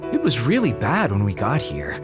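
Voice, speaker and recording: A man's voice: medium pitch, huge pitch swings, normal speed, over music. An actor playing a worried young male comrade in an anime game dub.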